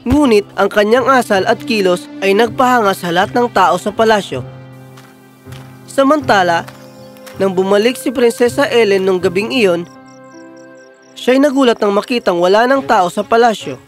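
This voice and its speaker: High-voiced man narrating a fairy tale. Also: normal speed, long pauses, soft background music.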